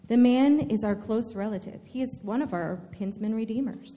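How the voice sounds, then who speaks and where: A woman playing a caring older mother-in-law in a dramatic scripture reading, measured and earnest as she gives counsel. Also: on the high side, warm tone, lightly acted. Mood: grateful, curious. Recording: long hall reverb.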